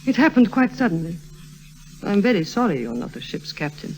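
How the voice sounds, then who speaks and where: An actress playing an imperious, alluring European baroness in an old-time radio drama, speaking formally and coolly. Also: female voice, medium pitch, big pitch swings, fairly quick, creaky. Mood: cool, teasing. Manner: formal, commanding, cool. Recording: noisy vintage radio recording.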